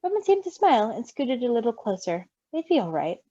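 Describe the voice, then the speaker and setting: A woman reading a story aloud expressively, with an animated, giggly manner. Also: a little high, fairly quick, no echo. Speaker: story reader. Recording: slightly dull, close dry mic.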